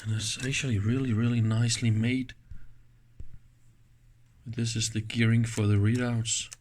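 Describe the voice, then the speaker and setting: A man's voice: mid-range voice, little pitch movement, a little slow, a little mellow, slight background noise. A man gives a casual, hands-on technical demonstration in an informal, enthusiastic manner. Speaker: host of an electronics teardown channel.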